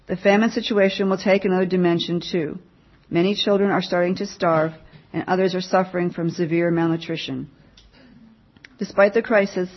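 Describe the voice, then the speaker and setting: A woman giving a mission update at church, reading a written report aloud to the congregation in a plain, measured way. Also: on the low side, muffled recording.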